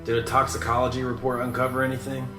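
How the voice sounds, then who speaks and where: An actor playing a cynical, sarcastic big-city detective in a radio drama, blunt and skeptical. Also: male voice, mid-range voice, monotone, fast, lightly acted. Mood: probing, skeptical. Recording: roomy echo with music behind. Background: music.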